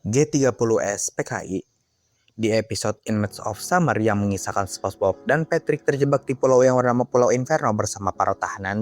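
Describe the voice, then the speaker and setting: A man tells stories for an online video. He talks to viewers directly in casual slang, with music playing behind him. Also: fairly low pitch. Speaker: video narrator. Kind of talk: storytelling narration for online video. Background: background music.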